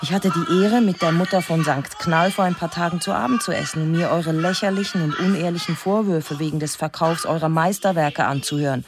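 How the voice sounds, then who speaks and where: A woman's voice: fairly low pitch, fairly quick, few pauses, close to an everyday voice. A woman playing a scathing ex-wife who reads out her insulting letter in an audio drama, sardonic and biting. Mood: scornful. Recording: music behind the voice.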